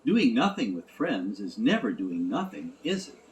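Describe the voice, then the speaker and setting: A man's voice: lowish voice, sing-song, normal speed, slight background noise, rough recording. A man voicing a curious young boy in a storybook, asking things in a wondering, slightly lonely way. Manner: wondering, questioning.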